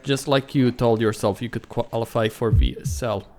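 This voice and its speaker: A man's voice: mid-range voice, normal speed, warm tone, slight background noise, close to an everyday voice. A man voicing an arrogant, condescending rival pro gamer in a visual novel, with a sneering delivery. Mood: scornful.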